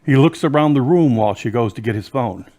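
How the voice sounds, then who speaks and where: A man narrating an amateur audio drama in a plain, steady storytelling manner. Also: mid-range voice, fast talker.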